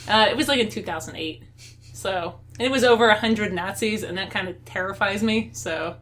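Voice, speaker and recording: A woman's voice: mid-range voice, a little fast, fairly bright tone. A woman co-hosting a podcast in casual chat, rambling and laughing often. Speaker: podcast co-host.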